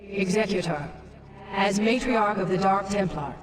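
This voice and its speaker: An actress playing a stern, commanding alien matriarch in a video game, imperious and ceremonial, with heavy reverb. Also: female voice, mid-range voice, a little slow. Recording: cavernous echo, distant, music behind.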